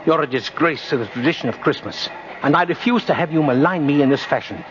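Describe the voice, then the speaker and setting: A man's voice: fairly high pitch, fast talker, lightly acted. An actor playing a kindly, dignified little old man with a formal, fussy manner in a 1950s radio drama. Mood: indignant. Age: older. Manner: formal, earnest, precise, fussy. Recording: muffled, noisy 1950s radio recording.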